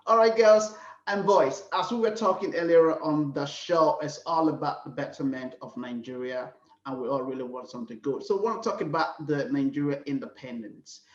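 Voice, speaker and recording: A man's voice: fairly high pitch, normal speed, reverberant, recorded from a distance, dull audio. A man hosting a panel talk show, moderating loosely with filler words.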